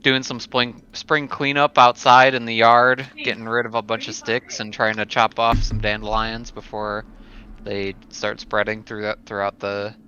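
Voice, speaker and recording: A man's voice: on the low side, little pitch movement, normal speed, pushed and bright. A man chatting casually as a player on a remote tabletop game podcast. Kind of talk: casual chat. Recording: dull lo-fi call, faint noise.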